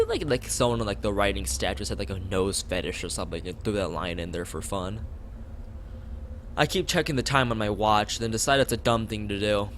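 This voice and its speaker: A man's voice: lowish voice, fairly bright tone, a little hiss or hum. A young man reading a game's text aloud on a casual stream, rambling into asides and stumbling over lines. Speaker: streamer playing a visual novel.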